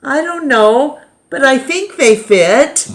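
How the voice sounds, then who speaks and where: An eager, wistful young boy from a storybook, played by a woman reading aloud. The delivery is very unhurried and expressive, with lively swings in pitch. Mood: hopeful. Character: eager young boy who longs for new shoes.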